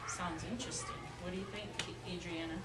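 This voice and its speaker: A woman's voice: on the low side, steady tone, a little fast. A woman teaching young children in a classroom, patiently asking questions and repeating their answers back. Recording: echoey classroom, distant mic, noisy.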